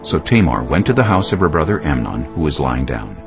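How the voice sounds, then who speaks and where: A man's voice: very deep voice, big pitch swings, fairly quick. A man solemnly narrating a dramatized scripture story from a script. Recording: slightly dull audio with music bed.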